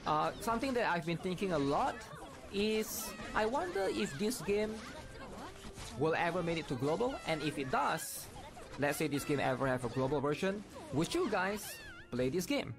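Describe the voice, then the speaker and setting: A man's voice: fairly high pitch, lively intonation, moderate pace, over music. A man giving casual, explanatory gameplay commentary, often checking in with his viewers. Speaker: gaming video creator.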